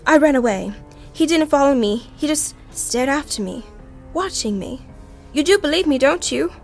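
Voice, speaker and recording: A woman's voice: high-pitched, animated, normal speed, soft background music. A woman playing a frightened, pleading sweetheart, breathless and melodramatic, in an old-time radio drama. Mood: shaken. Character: frightened, pleading young sweetheart in a horror tale.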